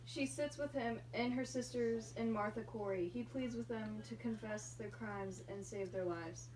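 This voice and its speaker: A woman's voice: a little high, moderate pace, a little mellow, lightly acted. A woman acting an anxious, pleading male minister from colonial Salem in an amateur puppet play. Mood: deferential, worried. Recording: distant mic with background room noise.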